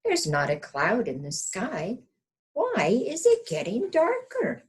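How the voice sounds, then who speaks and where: A woman's voice: on the high side, huge pitch swings, slow pace, breathy edge, pitched above the performer's own voice, full character voice. A woman voicing an alarmed storybook hen who exclaims and warns urgently.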